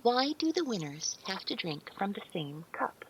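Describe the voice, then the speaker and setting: A woman's voice: medium pitch, very animated, moderate pace, a little hiss or hum, natural voice, poor audio. A woman playing a polite, childlike artificial intelligence in an audio drama, speaking earnestly with precise, formal, literal wording. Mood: curious.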